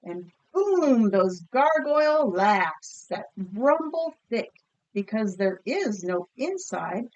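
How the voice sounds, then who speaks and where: A woman teacher reading a picture book aloud expressively and pausing to ask listeners questions. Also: a little high, sing-song, a little mellow, muffled recording. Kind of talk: storybook read-aloud with comprehension questions.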